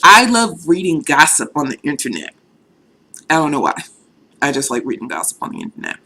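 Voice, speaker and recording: A woman's voice: rumbling low, wildly swooping pitch, fairly quick, creaky, bright, forceful tone. A woman in her thirties from Boston, chatting candidly in a casual vlog with a faint Boston accent that shows now and then, full of "you know.". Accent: light Boston. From Boston, USA. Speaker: vlogger. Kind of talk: casual talking-to-camera vlog.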